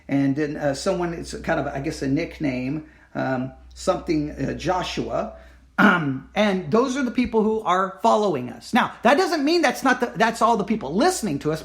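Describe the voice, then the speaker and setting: Hoarse, rambling male podcast host. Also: sits high. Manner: rambling, self-correcting, repeats himself; earnest, pleading with listeners. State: losing his voice. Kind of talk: casual solo podcast update.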